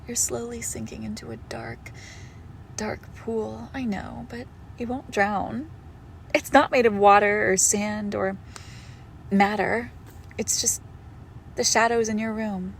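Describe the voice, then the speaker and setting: A woman playing a possessive monstrous girlfriend in an intimate horror roleplay, condescending and darkly affectionate, with deliberate, drawn-out phrasing. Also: mid-range voice, expressive, a little hiss or hum. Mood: tender menace. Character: possessive monstrous girlfriend with shadow powers, sweetly menacing. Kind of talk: intimate horror roleplay audio, slow and hushed.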